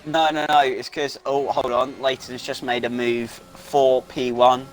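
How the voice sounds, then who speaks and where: A man's voice: medium pitch, flat delivery, measured pace, rough recording. A man co-commentating a sim race in a casual, chatty way with frequent fillers. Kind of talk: live sim racing commentary.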